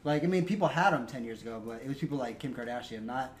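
A man's voice: medium pitch, lively intonation, fast talker, a little hiss or hum, rough recording. A man hosting a comedy podcast, rambling through crude jokes with lots of fillers. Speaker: podcast host. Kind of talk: casual comedic chat.

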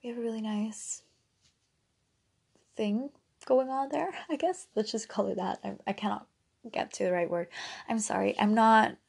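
A young woman whose first language is Dutch talks through her routines in a loose, rambling podcast chat. Her voice has a gravelly fry and a husky, breathy edge. She drifts into giggly asides as she goes. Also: mid-range voice, normal speed, long pauses, a little mellow. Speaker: podcast host. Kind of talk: casual solo podcast chat.

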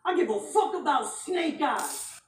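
High-voiced woman shouting battle-rap taunts. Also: wildly swooping pitch, unhurried, a little hiss or hum. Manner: aggressive, shouting taunts, repeating lines. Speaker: battle rapper. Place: live rap battle crowd venue. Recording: echoey live venue, mic from far off.

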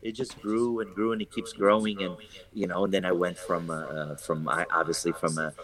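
Rambling man with a lowish voice. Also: level tone, fairly quick, a bit bright, rough recording. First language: English. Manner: rambling, many fillers and restarts. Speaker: real estate broker guest. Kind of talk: casual interview talk.

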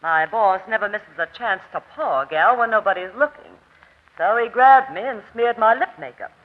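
A woman's voice: medium pitch, normal speed, lightly acted. An actress playing a brassy, streetwise young woman, sassy and slangy, in a 1940s radio crime drama. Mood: sassy, defiant. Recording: thin, phone-like old radio broadcast.

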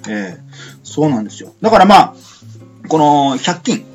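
Man chatting with very lively intonation. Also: fairly high pitch, moderate pace, faint music behind. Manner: chatty, frequent sentence-ending fillers, polite sign-offs. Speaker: radio show host. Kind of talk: casual amateur radio chat.

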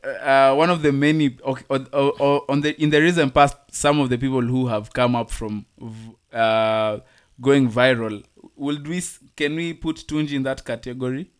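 A man's voice: mid-range voice, steady tone, clean tone. A man from Kenya co-hosting a casual podcast chat, stumbling over words and laughing easily. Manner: halting, many restarts, laughs easily. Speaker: podcast co-host.

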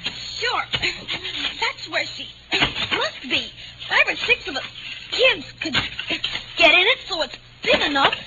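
Very high, scrappy young boy. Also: big pitch swings, slow, pauses often, full character voice. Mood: eager. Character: scrappy, slangy young boy, brash but easily scared. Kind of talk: old-time radio drama acting. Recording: muffled, noisy old radio recording.

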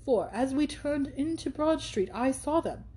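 A woman's voice: high voice, expressive, measured pace, warm tone, voice pushed up. A woman reading aloud and voicing a brash, boastful young man who talks over everyone. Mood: insistent. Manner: blustering, insistent.

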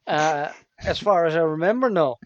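A Dutch man speaking English with his accent, his voice sitting a little high for a man. He rambles loosely with lots of fillers and giggles. The sound is dull and very dry. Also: normal speed, no room sound. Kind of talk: casual chat.

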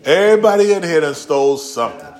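A man's voice: on the high side, lively intonation, fast, darkish tone. A man preaching a casual, slangy sermon in a church, acting out quotes and talking directly to the congregation. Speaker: pastor. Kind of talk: conversational sermon.

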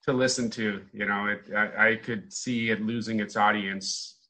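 A man's voice: lowish voice, fairly even intonation, normal speed, bright, forceful tone. A man speaking casually as a panelist on a podcast.

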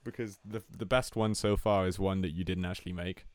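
A man's voice: deep voice, fairly even intonation, brisk, darkish tone, clear, resonant tone, no echo. A young man from Brazil, a native Portuguese speaker, co-hosting a podcast with dry, teasing banter and casual swearing. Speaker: podcast co-host. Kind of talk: casual chat.

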